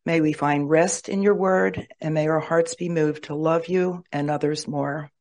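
A speaker leading a reverent, measured opening prayer in a church hall. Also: mid-range voice, steady tone, darkish tone. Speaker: prayer leader.

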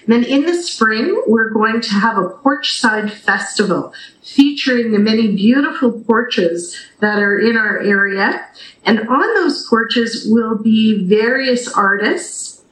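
A woman's voice: fairly high pitch, lively intonation, slow, fairly bright tone. A Canadian woman speaking enthusiastically and conversationally as a guest in a radio interview. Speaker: interview guest, theatre company representative. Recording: roomy, echoing sound.

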